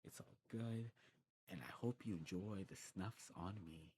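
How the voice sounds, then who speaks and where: A man with a very deep voice plays a friendly demon shopkeeper in an ASMR roleplay. He talks informally and knowledgeably, rambling and correcting himself as he recommends goods. Noticeable ambient noise sits behind his voice. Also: a little slow, a little mellow. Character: friendly demon snuff shop keeper, knowledgeable and enthusiastic. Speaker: ASMR performer playing a snuff shopkeeper. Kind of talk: ASMR shop roleplay, casual recommending chat.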